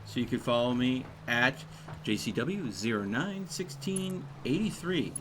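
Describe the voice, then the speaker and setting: A man streaming a game, chatting casually in a rambling, halting way. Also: mid-range voice, lively intonation, noisy background. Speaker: live streamer. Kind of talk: casual gaming livestream chat.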